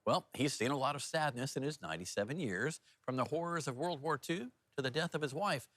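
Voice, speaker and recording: A man's voice: medium pitch, expressive, fairly quick, fairly bright tone, no echo. A man anchoring a television newscast in a chatty, informal way. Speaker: news anchor.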